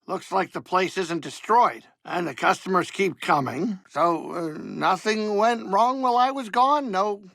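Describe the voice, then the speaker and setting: A blustery older alien barkeep from a sci-fi video game. The voice sits high for a male and swings widely, with a gravelly creak underneath. He grumbles and wisecracks in a folksy way, soft-hearted beneath the bluster. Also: dry recording, heavily acted. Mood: bossy, animated. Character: grumbling, warm-hearted older alien pilot and saloon keeper. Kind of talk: expressive video game character acting.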